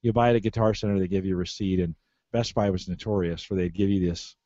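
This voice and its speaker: A man's voice: low-pitched, steady tone, quick pace, mellow, no room sound, lo-fi sound. A middle-aged American man hosting a casual tech podcast, repeating phrases for emphasis. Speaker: podcast host. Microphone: close podcast microphone through mixer.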